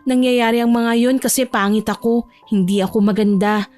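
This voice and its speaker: Woman acting shy, anxious young Filipina, high-voiced. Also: steady tone, moderate pace, a little mellow, soft background music, lightly acted. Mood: hurt, subdued. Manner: timid, pleading, emotional. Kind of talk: audio romance drama acting.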